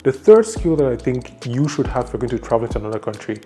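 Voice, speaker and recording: A man's voice: mid-range voice, expressive, quick pace, dark tone. A young adult man from Cameroon with a Cameroonian accent, giving numbered advice in a casual, conversational vlog. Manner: enthusiastic, conversational, lists numbered tips. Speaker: YouTuber.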